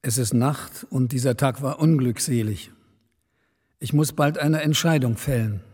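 An actor in a German radio drama playing a stern, weary magistrate who speaks formally and reproachfully. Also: male voice, mid-range voice, unhurried, a little mellow, breathy edge. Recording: long reverberant hall.

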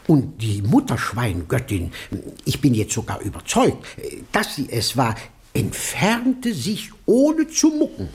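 Husky, slow, theatrical male eyewitness. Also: mid-range voice, wildly swooping pitch, exaggerated voice. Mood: dramatic, halting. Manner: halting, theatrical storytelling with hushed breathy passages. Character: eccentric, awed male eyewitness recounting a ghostly sighting. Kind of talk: German radio drama acting.

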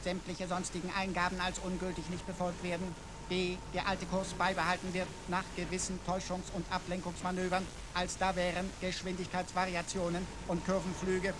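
An actor playing a smug, wisecracking computer companion who talks in pompous, florid, mock-learned phrases in a radio play. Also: male voice, high voice, monotone, fairly quick, background noise, close to an everyday voice. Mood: lecturing, pompous. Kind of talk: German radio play acting.

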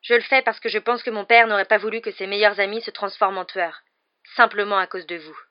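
A woman's voice: mid-range voice, steady tone, fast talker, voice pushed up, natural voice. Lady doing a earnest teen boy, urgent n firm, breathless, storybook, bit muffled. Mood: firm, resolute. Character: earnest, resolute teenage boy standing his ground.

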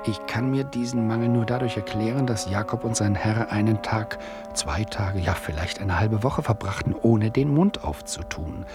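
An actor playing a wry, teasing author-narrator in a literary radio play, ironic and rhetorical. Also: male voice, fairly low pitch, normal speed, few pauses, over loud music, close to an everyday voice. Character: wry, teasing male author-narrator sparring with his listener.

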